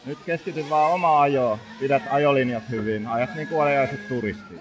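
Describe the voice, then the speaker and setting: Calm mechanic from Finland coaching over radio. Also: male voice, medium pitch, a loud crowd. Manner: calm, steady instructions with lap times. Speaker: racing mechanic. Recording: radio with loud track noise.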